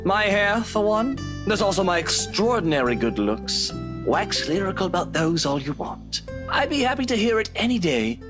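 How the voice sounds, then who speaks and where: A man's voice: sits high, big pitch swings, moderate pace, music in the background. An actor playing a vain, sardonic man in a visual novel, with dry sarcasm and bravado over self-loathing. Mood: cocky. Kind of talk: dramatic visual novel voice acting.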